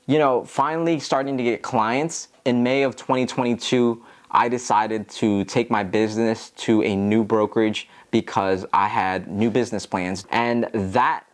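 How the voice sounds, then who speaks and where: A man with an American accent talking casually to viewers as a tutorial host, in an earnest, encouraging, explanatory way. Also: mid-range voice. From Philadelphia, United States. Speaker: real estate agent and video host.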